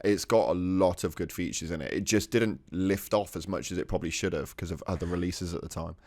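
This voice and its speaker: A man's voice: low-pitched, brisk, darkish tone, no echo. A man co-hosting a casual gaming podcast, bantering and laughing easily. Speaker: podcast co-host.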